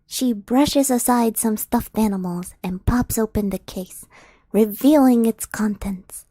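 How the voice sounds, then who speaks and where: A woman's voice: fairly high pitch, measured pace, mellow, no echo. A young woman streams and reads fanfiction aloud in a giggly, flustered way, with frequent laughs. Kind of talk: casual stream chat and read-aloud.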